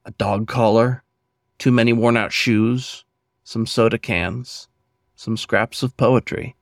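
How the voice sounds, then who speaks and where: A man reciting his own poem in an earnest, measured, dramatic way, with deliberate pauses between phrases. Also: mid-range voice, fairly even intonation, gravelly, no echo, clean studio sound. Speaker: poet and podcast host reading own poem.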